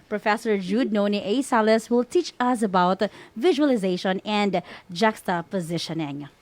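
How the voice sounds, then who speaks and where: A woman's voice: medium pitch, animated, normal speed. A woman with a Filipino accent casually co-hosting an online school program. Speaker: co-host of a school-on-the-air program.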